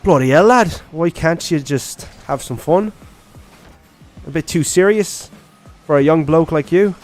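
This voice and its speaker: A young man putting on a playful British accent as a teasing bloke, loud and bantering. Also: on the high side, measured pace, soft background music, voice pushed up, natural voice.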